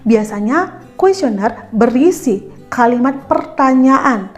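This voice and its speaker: High-voiced woman teaching over music. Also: lively intonation, slow, darkish tone. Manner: instructive, addresses pupils directly with check-in questions. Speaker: teacher presenting an educational video. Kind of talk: explanatory school lesson narration.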